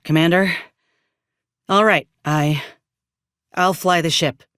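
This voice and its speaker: A woman's voice: low-pitched, no echo, lightly acted. Woman playing a folksy soldier, close and dry. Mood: resolute, earnest. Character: frank, loyal frontier woman soldier with dry wit. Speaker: video game voice actor. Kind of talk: scripted video game character dialogue.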